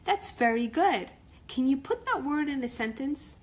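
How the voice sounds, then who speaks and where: A woman's voice: high voice, lively intonation, moderate pace, pitched above the performer's own voice. A woman voicing a patient, encouraging female bear schoolteacher in a storybook.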